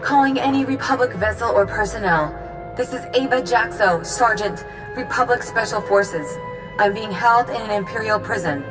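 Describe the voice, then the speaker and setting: A woman acting a gutsy, defiant special forces sergeant with a brisk, determined military manner in a video game. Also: fairly high pitch, music in the background, natural voice. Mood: urgent, gutsy. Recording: long hall reverb.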